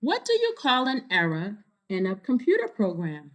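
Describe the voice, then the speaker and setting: A woman's voice: medium pitch, huge pitch swings, normal speed. A woman reading the part of a kindly, encouraging female schoolteacher who puts questions to a class in a storybook.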